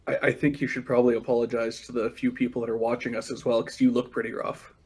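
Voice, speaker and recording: A man co-hosting a podcast who teases the others, introduces the guest and asks questions with halting restarts. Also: medium pitch, flat delivery, a little mellow, husky. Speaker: podcast co-host.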